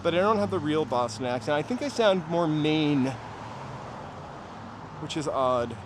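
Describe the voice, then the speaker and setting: A man's voice: fairly high pitch, lively intonation, unhurried. Chatty New man over faint street noise. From New Hampshire, USA. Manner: rambling, chatty asides to live viewers. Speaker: walking-tour livestream host. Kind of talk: casual chat while walking. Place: outdoors on city streets, walking. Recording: outdoor street noise, passing vehicles. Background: traffic and urban ambience.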